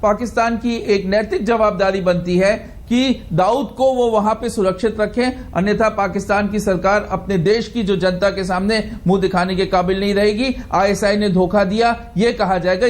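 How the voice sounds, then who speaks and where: A man with a high-pitched voice gives analysis as a guest on a news panel. He feels his way into sentences, then argues firmly and deliberately. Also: fairly even intonation. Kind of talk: spoken analysis in a news debate.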